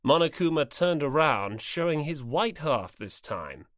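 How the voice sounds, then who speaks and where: Narrator for fiction audiobook: expressive, varied pitch, scripted delivery, very dry studio-like sound. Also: measured pace, no echo.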